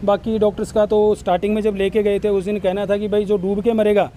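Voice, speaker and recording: High-voiced man in interview. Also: little pitch movement, fast, dark tone, slight background noise. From Haryana, India. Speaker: villager interviewed by local news. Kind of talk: on-camera news interview testimony.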